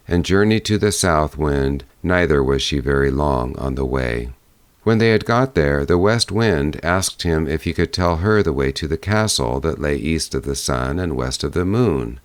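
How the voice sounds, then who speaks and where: A man reading a fairy tale aloud as scripted audiobook narration. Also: deep voice. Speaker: audiobook reader.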